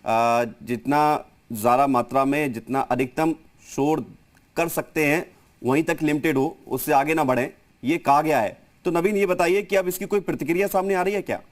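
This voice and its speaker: A man's voice: a little high, moderate pace. A man anchoring live television news and putting questions to guests.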